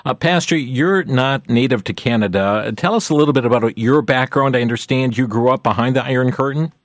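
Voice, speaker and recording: A man hosting a radio talk show, earnest and measured as he addresses listeners directly. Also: mid-range voice, dry recording, studio quality.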